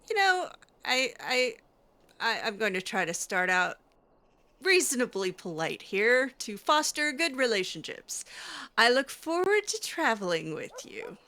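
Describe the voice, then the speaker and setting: A woman roleplays a young circle mage in a fantasy game, with a crisp, bright voice at a medium pitch. She is curious and wryly skeptical, speaking hesitantly and casually as she thinks aloud. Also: heavily acted. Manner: hesitant, conversational, halting asides. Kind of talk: improvised video game roleplay.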